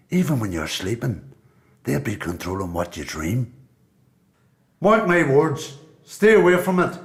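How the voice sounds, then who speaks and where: A man with a Belfast accent playing a cynical, defiant prisoner in a radio play, blunt, colloquial and sweary. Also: a little high. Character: cynical, defiant male prisoner, streetwise and distrustful.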